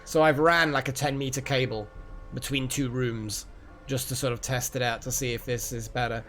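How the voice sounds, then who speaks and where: A man's voice: mid-range voice, fairly even intonation, normal speed, fairly bright tone. A man hosting a live game stream, chatting casually and rambling as he thinks aloud. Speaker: game livestream host. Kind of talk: casual live gaming chat.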